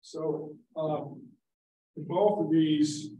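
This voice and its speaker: A man's voice: a little high, little pitch movement, drawn-out words, warm tone, a little hiss or hum. A man in the audience making a thoughtful, conversational comment during a conference. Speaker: audience member. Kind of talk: question from the floor. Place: conference room. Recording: echoey hall from far off.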